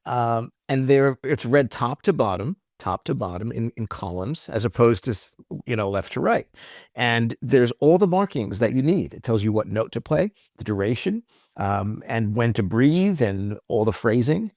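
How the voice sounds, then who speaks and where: A man's voice: mid-range voice, animated, normal speed, a little mellow, no echo, clean studio sound. A man guest speaking on a podcast, explaining ideas thoughtfully with frequent "um" and "right?". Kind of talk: reflective interview talk.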